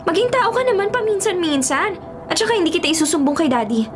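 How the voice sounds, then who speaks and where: Spoiled young daughter, Filipino accent, radio drama, piercingly high, highly animated, demanding, clear music behind. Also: female voice, fast talker. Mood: petulant, bossy. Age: young adult. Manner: demanding, petulant. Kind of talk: radio drama acting with Taglish dialogue.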